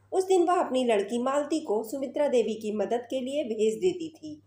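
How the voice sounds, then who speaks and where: Female storyteller for scripted narration, soft dark tone, slightly high pitch, roomy reverberant sound. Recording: roomy, echoing voice recording.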